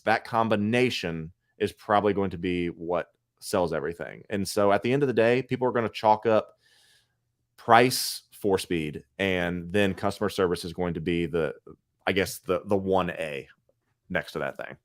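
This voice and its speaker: Low-voiced man in his thirties chatting casually. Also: moderate pace, no echo, studio quality. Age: 30s. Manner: rambling, candid, restarts often. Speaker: co-host collector. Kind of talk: casual podcast chat.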